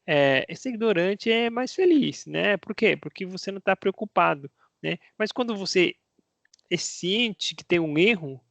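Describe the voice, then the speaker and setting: Brazilian guy teaching spanish on his channel, kinda high voice w lots of fry, chatty, keeps asking "right?". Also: lively intonation, measured pace, a bit bright, no room sound, highs cut off. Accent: Brazilian Portuguese. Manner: conversational, asks himself rhetorical questions, tags lines with "né?". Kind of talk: casual explanatory video talk to viewers.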